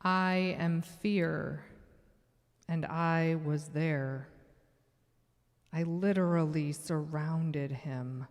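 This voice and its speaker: A woman performing a measured, solemn church reading as Fear, a menacing and boastful tormentor. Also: low-pitched, fairly even intonation, heavily acted. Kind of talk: dramatic church reading, monologue. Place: large church sanctuary. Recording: long reverberant church hall echo.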